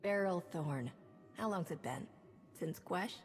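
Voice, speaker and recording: A cocky smuggler captain. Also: female voice, fairly low pitch, animated, slow, soft background music. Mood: wry. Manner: wry, self-assured banter. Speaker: player character.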